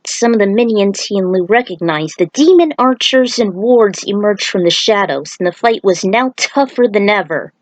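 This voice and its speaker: A woman's voice: mid-range voice, expressive, moderate pace, few pauses, no room sound, poor audio. A woman reading an action story aloud as a narrator, with casual asides. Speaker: fan fiction author reading aloud.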